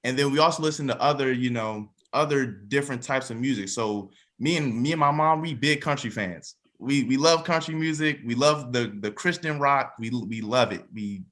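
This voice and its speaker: Young man, twenties, mid-pitched voice, casual interview talk, emphatic repetition. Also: normal speed, hardly any pauses, fairly bright tone. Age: 20s. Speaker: musician and songwriter interviewed as a cast member.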